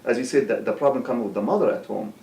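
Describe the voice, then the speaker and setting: A man hosting an interview, often interjecting and speaking in halting phrases with fillers. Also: medium pitch, gravelly, darkish tone. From Egypt. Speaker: talk show host. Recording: roomy distant mic with faint hum.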